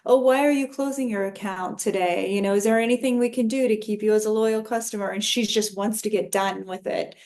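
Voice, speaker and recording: Woman w/ average pitch voice tossing out script ideas, casual group talk. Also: a little fast, few pauses, a little mellow. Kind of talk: discussion, giving script feedback.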